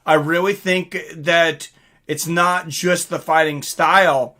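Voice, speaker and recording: A man's voice: on the high side, fairly even intonation, slow, bright, forceful tone. A man hosting a live stream, chatting casually and reading out comments from viewers.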